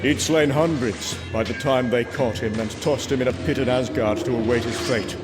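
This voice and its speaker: An actor playing a proud, brooding warrior god, telling his tale gravely and theatrically in a comic book drama. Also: medium pitch, natural voice. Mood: solemn, reflective. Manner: grave, theatrical, measured storytelling. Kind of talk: dramatic first-person comic book narration. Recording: music under the voice. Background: music.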